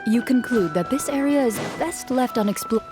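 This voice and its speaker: A woman playing a composed game master, giving measured scripted narration of a tabletop quest in a video game. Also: a little high, animated, natural voice. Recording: music behind the voice.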